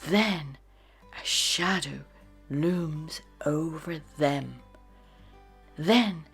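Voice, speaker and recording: A woman narrating a children's storybook in a dramatic, expressive read-aloud style. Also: mid-range voice, husky. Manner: dramatic, with hushed phrases and sudden exclamations.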